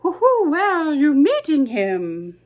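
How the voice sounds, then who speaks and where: A woman voicing a hooting owl in a picture book read aloud, with a high, sing-song delivery. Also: wildly swooping pitch, slow, muffled recording, heavily acted. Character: hooting wise old owl.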